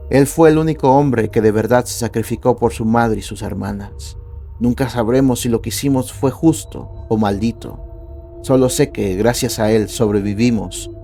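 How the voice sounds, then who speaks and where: A man narrating horror stories in a measured, somber reading style. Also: on the low side, vocal fry, darkish tone. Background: faint background music.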